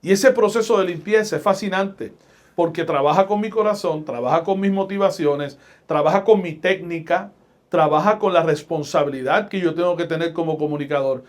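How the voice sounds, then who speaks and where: A middle-aged man with a Puerto Rican accent, speaking as a guest pastor and radio broadcaster. He talks in a measured, emphatic preaching cadence and leans on each phrase. His voice sits a bit higher than most men's. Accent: Puerto Rican Spanish. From Puerto Rico. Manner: deliberate, emphatic preacher's delivery with scripture quotes. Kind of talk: podcast interview, storytelling.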